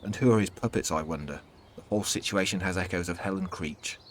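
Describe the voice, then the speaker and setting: A man puts on a forthright grandmother witch for a fantasy audio story. She is sharp-tongued and stern with a playful side, heard over noticeable background noise. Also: deep voice, brisk, close to an everyday voice. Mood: angry. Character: stern, playful older woman, protective grandmother with magic.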